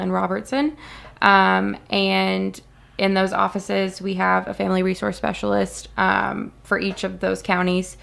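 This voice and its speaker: A woman's voice: mid-range voice, vocal fry. A woman from a family resource center answering questions on a radio show in a practical, matter-of-fact way. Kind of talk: casual radio interview answers.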